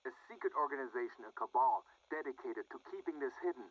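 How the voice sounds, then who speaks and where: An actor playing an earnest, secretive wartime researcher, speaking gravely and conspiratorially in a dramatic video game audio log. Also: male voice, mid-range voice, lively intonation, fast talker, a little hiss or hum, natural voice. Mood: urgent, conspiratorial. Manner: grave, conspiratorial, dropping toward hushed tones. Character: earnest, secretive male wartime researcher leaving urgent warnings. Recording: dull lo-fi radio recording.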